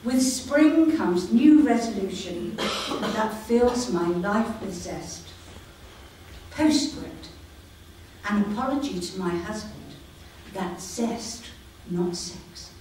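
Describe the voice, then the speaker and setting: A woman reading her own poems at a live poetry evening, slow and measured, with light humour and no microphone. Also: medium pitch, animated, a little mellow, a little hiss or hum. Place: community hall with live audience. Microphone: no microphone, voice projected. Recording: echoey hall, voice from far off.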